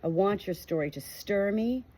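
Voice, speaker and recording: A woman's voice: medium pitch, expressive, normal speed, warm tone. A woman reading a book aloud in an earnest, emphatic way, now and then breaking off into chatty personal asides. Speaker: audiobook reader.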